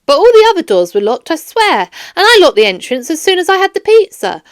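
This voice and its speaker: A woman's voice: very high-pitched, very animated, brisk, no echo, clean studio sound, pitched above the performer's own voice. A woman voicing a flustered, defensive male security guard in a children's audiobook, pleading and protesting emphatically. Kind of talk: children's audiobook character dialogue.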